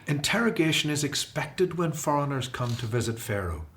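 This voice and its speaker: An actor playing an earnest, resolute fugitive prince of ancient Egypt in a radio drama. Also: male voice, fairly high pitch, sing-song, moderate pace, a bit bright. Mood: earnest, determined.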